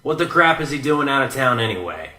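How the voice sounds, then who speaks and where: An actor playing a cynical, sarcastic big-city detective in a radio drama, blunt and skeptical. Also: male voice, mid-range voice, sing-song, fast talker, pushed and bright. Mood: irritated, accusing. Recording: roomy echo with music behind. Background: music.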